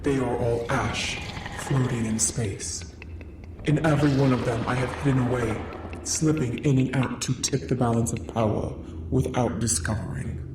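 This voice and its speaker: A man playing a malevolent, gloating spider god in a dramatic audio story, speaking with slow theatrical menace. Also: mid-range voice, steady tone, noisy background, close to an everyday voice. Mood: grandiose, threatening. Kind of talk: dramatic audio fiction acting. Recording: echoing, distant voice under loud music.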